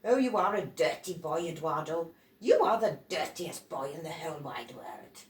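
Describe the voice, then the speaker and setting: A woman voicing a loud, exasperated grown-up from a storybook, scolding with emphatic exclamations. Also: lively intonation, slight background noise. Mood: disgusted. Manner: shrill, emphatic, drawn-out exclamations. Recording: roomy echo, distant from microphone.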